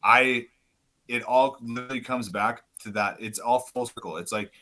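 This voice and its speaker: Loud, swearing man with a bright voice. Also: mid-range voice, fairly even intonation, moderate pace. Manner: loud, swears often, rambling. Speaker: podcast guest, rapper. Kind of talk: casual chat.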